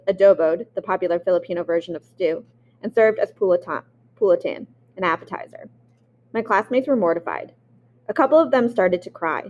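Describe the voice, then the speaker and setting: A woman's voice: medium pitch, darkish tone, muffled recording. A woman reading a memoir aloud. She reads expressively and sometimes stops to restart a word. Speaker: audiobook reader.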